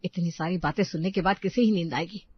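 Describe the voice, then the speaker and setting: A woman's voice: fairly low pitch, fast, husky, dry recording, highs cut off, pitched below the performer's own voice. A woman voicing an aggrieved, sarcastic daughter-in-law from a dramatised family story, complaining and scolding.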